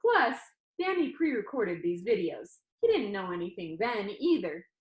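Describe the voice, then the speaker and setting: A woman's voice: medium pitch, sing-song, normal speed, dull audio. A woman reading a first-person novel aloud as narrator. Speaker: audiobook reader. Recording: echoey room.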